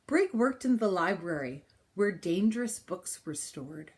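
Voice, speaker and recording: A woman reading a children's picture book aloud in an expressive style, with deliberate, dramatic phrasing and pauses. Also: on the low side. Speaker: storybook reader.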